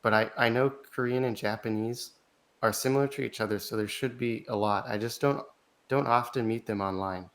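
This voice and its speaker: A man as an interview guest, answering thoughtfully in casual conversation, often restarting his sentences and filling gaps with "like". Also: medium pitch. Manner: thoughtful, halting, with frequent restarts and "like" fillers. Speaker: interview guest, language learner.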